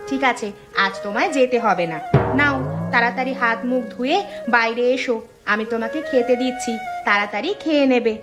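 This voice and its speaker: Cartoon village mother played by a woman: very high voice, fussy but caring homemaker, music underneath. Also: brisk, lightly acted. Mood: indulgent. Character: middle-aged village mother and wife, fussing, caring. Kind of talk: cartoon dialogue acting.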